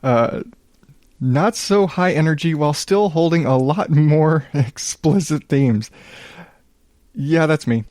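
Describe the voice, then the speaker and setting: A man hosting a solo podcast in a smooth voice, chatting casually in a low-key, rambling, self-deprecating way with some swearing. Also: slow, a little mellow, no room sound, studio quality. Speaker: podcast host.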